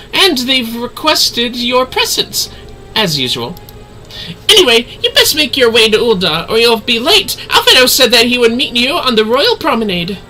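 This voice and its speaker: A man's voice: sharp tone, exaggerated voice, low-quality recording. A man voicing a cheerful, chatty small female guild receptionist from a video game, with perky delivery. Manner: perky, high, swooping delivery.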